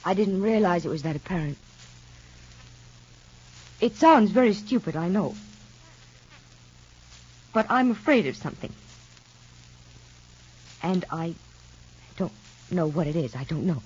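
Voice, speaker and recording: Jittery young mom, husky and hesitant, muffled old radio sound. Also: female voice, lowish voice, lively intonation, a little slow, long pauses. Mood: worried. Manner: hesitant, worried, soft-spoken. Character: anxious young mother fearing for her son. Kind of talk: 1940s radio soap acting. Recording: dull lo-fi old radio recording.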